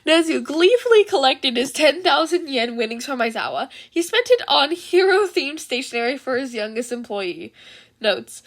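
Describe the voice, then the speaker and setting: A woman with a very high-pitched voice narrates fan fiction. She is an amateur reader who tells the story expressively, in an informal homemade audiobook style. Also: animated, moderate pace. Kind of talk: expressive scripted story narration with casual asides.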